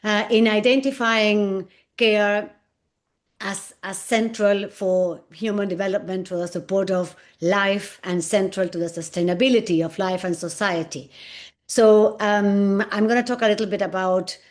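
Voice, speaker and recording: A woman's voice: mid-range voice, steady tone. A woman from Argentina, a native Spanish speaker, presenting in English as an NGO director on a panel over a video call. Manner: measured, list-like delivery.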